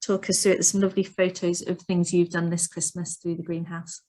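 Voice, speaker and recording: Lower-voiced woman hosting a webinar. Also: flat delivery, fairly quick, a little mellow. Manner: encouraging, many fillers. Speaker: webinar host. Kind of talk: hosting a video call webinar.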